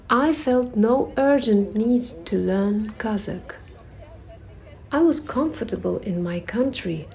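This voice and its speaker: A woman reading a personal essay aloud at a public reading, in a measured, reflective way with pauses between phrases. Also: a little high, dark tone. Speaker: reader at a performative reading.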